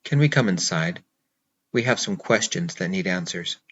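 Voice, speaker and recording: A man's voice: low-pitched, lively intonation, moderate pace, fairly bright tone, dull audio, a little hiss or hum, voice pushed down. A man voicing a businesslike police officer who speaks curtly and formally. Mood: stern.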